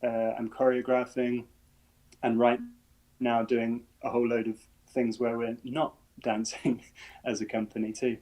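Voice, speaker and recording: A British man with a mid-range voice talks in a friendly, chatty way during an interview. He laughs easily and moves along with a relaxed ease. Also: medium pitch, a little slow, rough recording. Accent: British English. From England. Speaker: guest, ballet dancer and choreographer. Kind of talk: casual interview answers.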